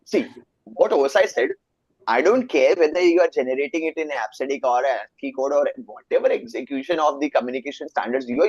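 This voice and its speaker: A man's voice: on the high side, a little mellow, no room sound, lo-fi sound. A young man with an Indian accent, Hindi his first language, lecturing a live online networking class and checking in with students often. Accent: Indian English. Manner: explanatory, asks students frequent check questions. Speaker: networking instructor.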